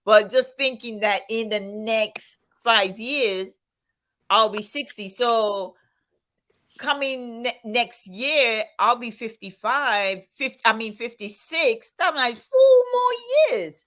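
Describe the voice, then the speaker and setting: Woman, 50s, bright and clear tone, casual and reflective, laughs easily; live stream co-host. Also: on the high side, lively intonation, takes it slowly. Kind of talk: casual chat.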